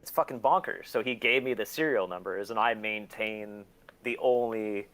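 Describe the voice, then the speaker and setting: A man's voice: on the low side, moderate pace, fairly bright tone, low-quality recording. A man chatting casually as an interview guest, candid, profane and self-deprecating, telling rambling stories and acting out quotes. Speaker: guest, collector.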